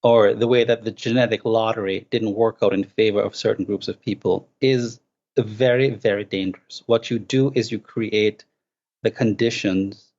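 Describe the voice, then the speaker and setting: A man with a Jamaican accent, an author being interviewed, answering in a measured, eloquent way with frequent restarts. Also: lowish voice, darkish tone, dull audio. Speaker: guest author.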